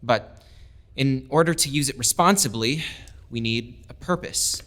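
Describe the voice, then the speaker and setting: A man's voice: mid-range voice, unhurried, fairly bright tone, close to an everyday voice. An actor playing an earnest young male lieutenant, a proud outsider making his case in an audio drama. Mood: earnest, rousing. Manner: impassioned, oratorical. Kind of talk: full-cast audio drama speech.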